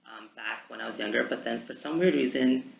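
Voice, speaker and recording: A man's voice: mid-range voice, fairly quick, creaky. A young Canadian man, a student, chatting casually about language with many ums. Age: young adult. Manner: relaxed, many ums, talks at length. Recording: roomy with faint background noise.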